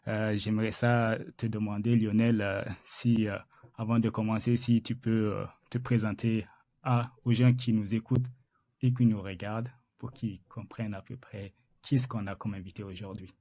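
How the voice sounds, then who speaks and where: A man's voice: on the low side, flat delivery, moderate pace, warm tone, no room sound. A man hosting an interview podcast, speaking hesitantly with many filler sounds and restarts. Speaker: podcast host.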